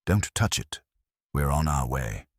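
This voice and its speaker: A man voicing a grave, thoughtful police inspector in an audio drama, speaking in a dry, measured way. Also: extremely low pitch, sing-song, no room sound, heavily acted.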